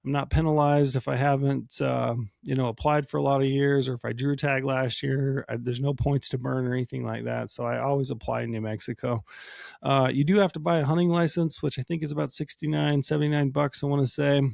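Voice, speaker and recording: A man with a hoarse voice from a cold, hosting a solo podcast and explaining things in a casual, rambling way with many fillers. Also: medium pitch, steady tone, a little fast, darkish tone, no echo, clean studio sound. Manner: casual, explanatory, many ums and you-knows. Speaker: podcast host.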